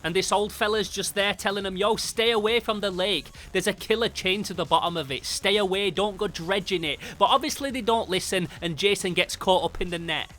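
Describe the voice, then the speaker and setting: Bright, high-pitched British man reviewing comics. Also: brisk, few pauses. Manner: sweary, informal, emphatic. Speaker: host of a comic book review channel. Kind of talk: animated, slangy comic review narration. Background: music.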